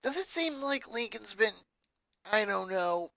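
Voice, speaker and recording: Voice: mid-range voice, lively intonation, unhurried, vocal fry, pushed and bright, pitched above the performer's own voice, heavily acted. A reader voicing a fifteen-year-old rocker girl who talks casually, calling people "dude", and sounds concerned. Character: teen girl rocker, laid-back but concerned.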